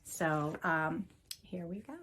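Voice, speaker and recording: A woman's voice: low-pitched, takes it slowly, a bit bright, slight background noise. A woman hosting a children's storytime, talking casually and reading a picture book aloud. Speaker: children's museum staff storytime host.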